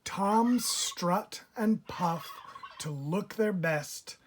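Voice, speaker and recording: Woman storybook reader, lowish pitch, very slow and expressive delivery for kids, noisy recording with turkey calls. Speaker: picture book reader. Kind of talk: read-aloud of a rhyming children's storybook. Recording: clear background noise, bird calls. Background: turkeys gobbling and clicks.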